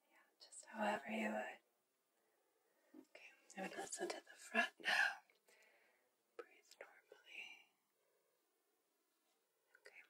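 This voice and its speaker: A woman's voice: mid-range voice, wildly swooping pitch, slow pace, long pauses, breathy edge. Whispering young woman doing ASMR. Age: young adult. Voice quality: whispery, hushed. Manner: soft, instructing step by step. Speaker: ASMR performer role-playing a medical examiner. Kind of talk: ASMR medical roleplay. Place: small indoor studio with dark backdrop.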